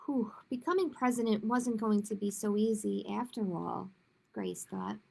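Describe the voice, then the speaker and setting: A woman's voice: mid-range voice, moderate pace, gravelly, darkish tone, slightly rough. A woman hosting a children's story time, chatty and emphatic, repeating phrases as she talks and reads aloud. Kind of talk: casual chat with viewers and read-aloud storytelling.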